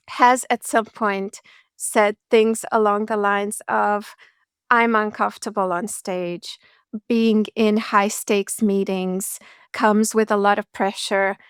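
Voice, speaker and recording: A woman speaking as a public speaking coach in a podcast interview, explaining in an articulate, measured and reassuring way. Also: medium pitch, vocal fry, smooth, no echo, studio quality.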